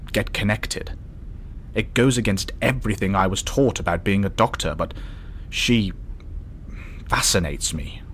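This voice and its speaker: A thoughtful starship doctor, acted by a man with a deep voice and a calm, measured delivery. The lines are reflective sci-fi drama dialogue over a faint rumble of noise. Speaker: actor in a science-fiction fan drama.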